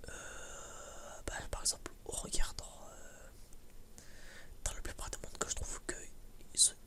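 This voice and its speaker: A young man giving a casual ASMR chat in a whisper, rambling and hesitant, in informal, slangy words. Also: measured pace. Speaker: ASMR creator.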